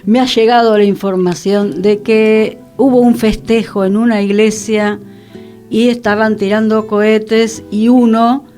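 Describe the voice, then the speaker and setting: A woman's voice: fairly high pitch, flat delivery, unhurried, mellow, soft background music. A woman talking on a radio panel, explaining in an articulate, discursive, conversational way. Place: radio programme, guitar music playing behind the voice.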